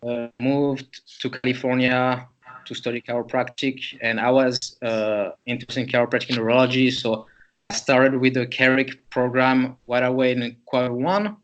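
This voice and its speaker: A man's voice: mid-range voice, fairly even intonation, moderate pace, muffled recording. A man from Switzerland, a chiropractor, presents a patient case to students in a loose, conversational way, thinking aloud as he goes.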